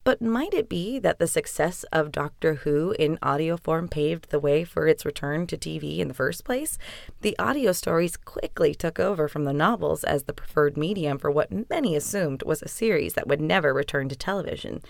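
A woman's voice: deep voice, lively intonation, moderate pace, gravelly, dry recording, clean studio sound. A woman hosting a podcast, reading scripted narration. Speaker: podcast host.

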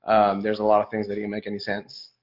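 A middle-aged man from Ecuador, a native Spanish speaker, answering casually as a podcast guest in a halting, unhurried way. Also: fairly low pitch, completely flat delivery. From Quito, Ecuador. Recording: slightly muffled, dull podcast sound.

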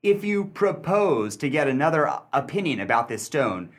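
Male, highish and very animated: man playing a dry, haughty, bargaining gentleman for period radio drama, formal and deliberate. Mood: firm, haughty. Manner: theatrical, deliberate, formally wordy. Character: cool, dry, mocking gentleman driving a hard bargain. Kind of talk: period radio play acting.

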